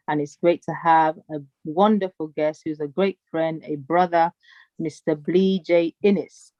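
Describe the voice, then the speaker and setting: A woman's voice: deep voice, darkish tone, dry recording, muffled recording. A woman hosting a live radio interview, effusive and playful, heaping praise on her guest. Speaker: radio show host.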